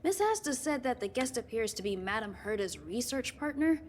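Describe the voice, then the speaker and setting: A woman's voice: on the high side, very animated, moderate pace, a little hiss or hum. An actress playing a busy, apologetic, kind young woman working on a space station in a video game, speaking earnestly and politely. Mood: flustered, apologetic. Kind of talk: scripted video game dialogue.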